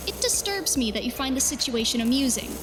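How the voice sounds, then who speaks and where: Female combat medic, played by a woman, steady and dry, fairly high pitch, protective, for a sci-fi visual novel dub. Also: fairly quick, background noise. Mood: dry disapproval. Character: steady, protective woman doctor and rifle fighter.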